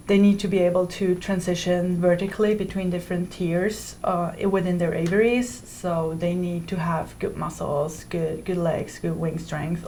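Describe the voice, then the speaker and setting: A woman's voice: fairly low pitch, darkish tone, slight background noise. Young woman explaining carefully. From Switzerland. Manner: careful, explanatory, with fillers and restarts. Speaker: PhD student guest. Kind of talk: interview answers. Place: indoor university room.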